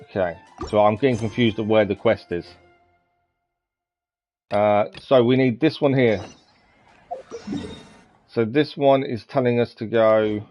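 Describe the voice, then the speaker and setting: A man's voice: lowish voice, a little slow, pauses often. A man giving casual live gameplay commentary, musing aloud a little hesitantly as he goes. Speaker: game live streamer. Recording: dull lo-fi mic with game music. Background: game music.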